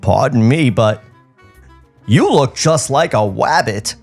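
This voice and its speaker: A man's voice: medium pitch, animated, slow pace, creaky, husky, soft background music, heavily acted. A man voicing a meek, dim cartoon rabbit hunter who lisps his r's as w's. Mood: meek, befuddled. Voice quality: lisping, r and l turned to w.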